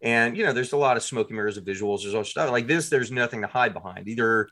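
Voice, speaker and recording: A man being interviewed as a guest, telling stories casually in a self-deprecating, animated way with quoted asides. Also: mid-range voice, fast talker.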